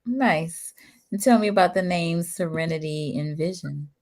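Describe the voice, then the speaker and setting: A woman's voice: fairly low pitch, unhurried, a little mellow. A woman hosting a live-streamed interview show, upbeat and greeting viewers by name. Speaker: talk show host.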